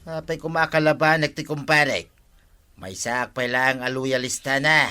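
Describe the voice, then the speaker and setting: A man's voice: mid-range voice, level tone, unhurried, sharp tone, clean tone. A man with an Ilocano Filipino accent acting a loyal village buddy in a radio comedy-drama. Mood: protesting, loyal.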